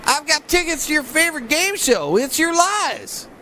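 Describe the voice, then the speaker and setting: A woman's voice: high voice, very animated, moderate pace, pushed and bright, slight background noise, heavily acted. A woman voicing a cheeky, easygoing young nephew in a cartoon comedy, casual, slangy and teasing. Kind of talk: scripted animated comedy acting.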